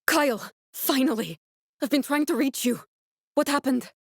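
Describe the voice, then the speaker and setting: A woman's voice: sits high, normal speed, no room sound, clean studio sound. An earnest, guarded woman scientist. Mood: urgent, questioning. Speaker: video game voice actress. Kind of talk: video game dialogue.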